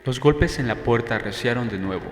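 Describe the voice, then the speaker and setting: A man reading a novel as an audiobook narrator, in measured, dramatic first-person storytelling. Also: medium pitch. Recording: roomy long reverb, faint music. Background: faint music.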